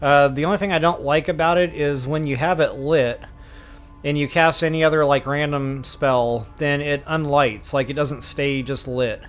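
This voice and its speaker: Male streamer, informal and explanatory, slightly higher-pitched voice, casual walkthrough commentary with faint music underneath. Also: fairly even intonation, normal speed. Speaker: game streamer and walkthrough host. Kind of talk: casual live gameplay commentary. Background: game music.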